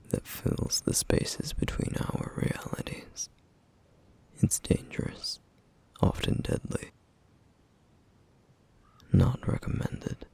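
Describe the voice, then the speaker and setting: A man playing a somber, duty-bound, protective flame demon in an ASMR roleplay, speaking in a hushed, halting, formal way with a rough, breathy voice. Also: natural voice. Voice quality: breathy, husky, rough whisper. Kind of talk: ASMR roleplay, intimate scripted monologue.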